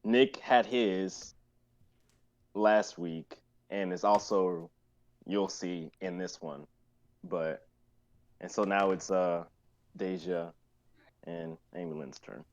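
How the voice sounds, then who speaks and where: A man's voice: deep voice, slow pace, pauses often, dull audio. A man in his twenties chatting casually on a group livestream, explaining things in a rambling, circling way. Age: 20s. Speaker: writer and performer on a group livestream. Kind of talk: casual chat.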